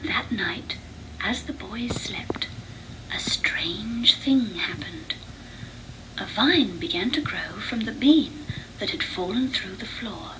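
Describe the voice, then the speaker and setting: Female storybook narrator, unhurried and expressive, mid pitch, audible background noise. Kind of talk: read-along storybook narration.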